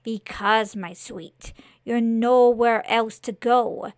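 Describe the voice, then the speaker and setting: A woman voicing a manipulative male demon lord, mocking and menacing, with drawn-out phrasing in a horror story. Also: a little high, fairly even intonation, dry recording, studio quality. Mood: menacing, persuasive.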